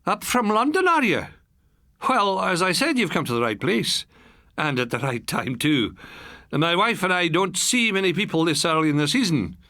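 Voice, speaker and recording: A man voicing a genial, crisp middle-aged Highland Scottish glass seller in an audiobook story. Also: expressive, moderate pace, sharp tone, no echo, studio quality. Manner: crisp, affable salesman talk.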